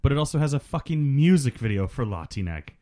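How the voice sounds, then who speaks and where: A man's voice: medium pitch, big pitch swings, a little fast, warm tone. A man co-hosting a casual movie commentary, loose and joking, often trailing off mid-sentence. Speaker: podcast co-host.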